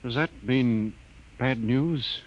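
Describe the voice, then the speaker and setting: A man's voice: mid-range voice, takes it slowly, muffled recording, natural voice. An actor playing a composed male civilian passenger aboard a spaceship in a 1950s radio drama. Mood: polite, uneasy. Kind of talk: 1950s science fiction radio drama acting. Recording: noisy 1950s radio recording.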